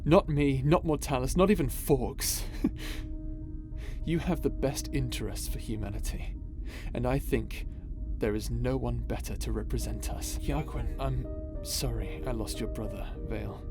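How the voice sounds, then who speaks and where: A man's voice: mid-range voice, unhurried, close to an everyday voice. A man playing an impassioned space pirate captain in an audio roleplay, with a dramatic, emotive delivery full of sighs. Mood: somber, subdued. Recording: voice over background music.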